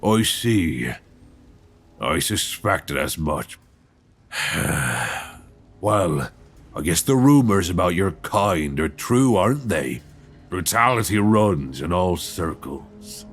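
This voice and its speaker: A man acting a low-voiced old lighthouse keeper. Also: animated, slow pace, soft background music. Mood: resigned, bitter. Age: older. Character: old male 19th-century lighthouse keeper, weary, greedy, wry. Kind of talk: suspense horror audio roleplay acting. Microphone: close binaural microphone.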